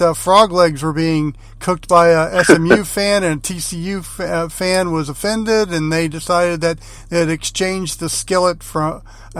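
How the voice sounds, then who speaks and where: A man's voice: on the high side, no echo. An American man from Arkansas hosting a sports radio show and interviewing guests. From Arkansas, United States.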